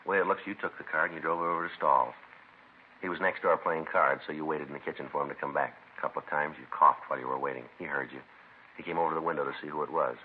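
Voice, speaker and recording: Dogged police detective, 1950s radio drama. Very deep, deadpan, brisk, on a thin vintage recording. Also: male voice, narrow, tinny audio, a little hiss or hum. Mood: firm, unemotional. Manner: clipped, matter-of-fact, deadpan. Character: calm, dogged male police detective and narrator.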